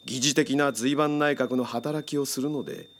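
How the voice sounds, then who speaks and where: An actor playing a controlling male psychiatrist in a radio drama, speaking in a measured, formal, hypnotically coaxing way. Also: mid-range voice, fairly even intonation, slight background noise, natural voice. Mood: hypnotic, controlled.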